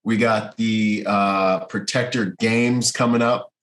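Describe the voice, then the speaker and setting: American male podcast host, lower register, casual, earnest, slangy delivery. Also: fairly even intonation, slow, a bit bright.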